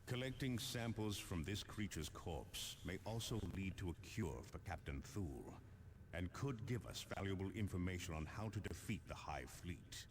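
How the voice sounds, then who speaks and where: An actor playing a grave veteran space marine captain, giving a deliberate, commanding military briefing in a video game. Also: male voice, low-pitched, expressive, a little hiss or hum. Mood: grave, urgent. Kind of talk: dramatic scripted military briefing.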